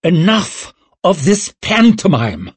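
A man's voice: mid-range voice, sing-song, slow pace, breathy edge, heavily acted. A man voicing an angry young king in an audiobook, icy, imperious and snapping out commands. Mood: snarling anger. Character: angry young king, cold, imperious, passing stern judgement.

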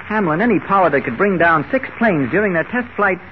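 An earnest newspaper reporter from a 1940s radio serial. He talks quickly and insistently in a high-pitched male voice. The old recording is muffled. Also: lively intonation, slight background noise. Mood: insistent, grave. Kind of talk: 1940s radio drama acting.

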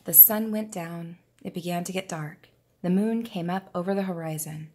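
A woman reading a children's picture book aloud in a deliberate, expressive storytelling manner. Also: fairly low pitch. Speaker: preschool teacher reading aloud.

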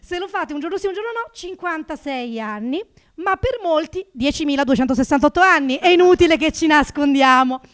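A woman in her thirties with a high voice works a small comedy club stage, talking into a handheld microphone. Her delivery is sarcastic and animated, leaping up in pitch and volume whenever a punchline lands. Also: a little fast. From Naples. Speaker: stand-up comedian. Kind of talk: stand-up comedy routine.

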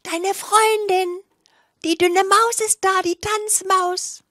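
A woman's voice: extremely high pitch, steady tone, slow, fairly bright tone, no echo, clean studio sound, pitched above the performer's own voice, full character voice. A woman voicing a small thin female dancing mouse, childlike, singsong and pleading. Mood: pleading, eager.

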